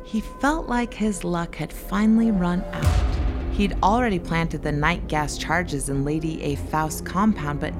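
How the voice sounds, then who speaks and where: A woman delivers scripted, dramatic narration for a space adventure audio drama. Her voice is on the low side. Music plays clearly behind her. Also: measured pace.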